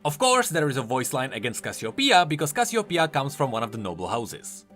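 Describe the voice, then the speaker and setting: A man's voice: mid-range voice, big pitch swings, brisk, fairly bright tone, faint music behind. A man presenting explanatory video commentary on game lore, informal and emphatic in his delivery. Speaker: YouTube game lore host.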